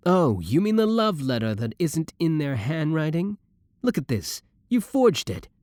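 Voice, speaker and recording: A man's voice: a little high, very animated, moderate pace, a little mellow, dry recording, studio quality. A young man acting in an audio roleplay as a clingy, obsessive boyfriend, theatrically doting and smug, scornful of his rival.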